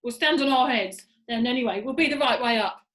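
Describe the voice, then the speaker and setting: A woman reader acts a nasty old storybook husband. He blusters and exclaims loudly, grumpy and full of himself. The room echoes around the voice. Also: fairly high pitch, fairly quick, natural voice. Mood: boastful, determined. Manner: loud, exclaiming.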